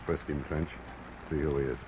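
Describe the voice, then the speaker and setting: Guarded crime boss, bottomless low voice, curt, commanding, old radio, clearly hissy recording. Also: male voice, steady tone, normal speed. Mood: cold, suspicious. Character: tall, guarded male crime boss in shadows. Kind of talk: 1950s radio crime acting. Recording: noisy old radio recording, clicks and hiss.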